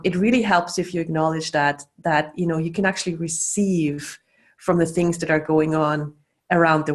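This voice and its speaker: A middle-aged woman, a facilitator talking loosely over a video call, full of fillers and restarts. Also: lowish voice. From Austria. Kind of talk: casual teaching talk.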